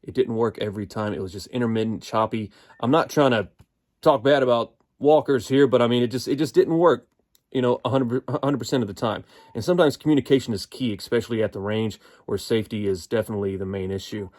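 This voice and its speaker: A man's voice: medium pitch, fast, darkish tone. An American man giving a casual, unscripted gear review in a folksy, explanatory manner. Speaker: product reviewer.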